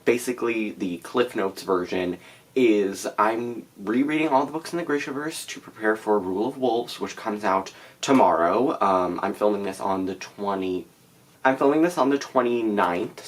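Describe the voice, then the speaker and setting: A man's voice: fairly low pitch, animated, moderate pace. A teenage boy with a stuffy nose from a cold, chatting casually to camera about his reading plans with many fillers. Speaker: book vlogger.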